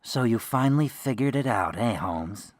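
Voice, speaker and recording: A man acts out a criminal mastermind in a modern detective radio play. He gloats smugly, his pitch moving freely. The recording is dry. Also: expressive, moderate pace, no echo, lightly acted. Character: gloating male criminal mastermind posing as medical examiner.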